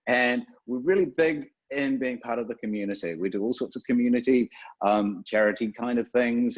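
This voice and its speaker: A man with a New Zealand accent presents in a chatty, self-deprecating way, his lowish voice a little husky. The recording is muffled and lo-fi. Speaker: guest speaker from a retailer. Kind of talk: conference webinar presentation.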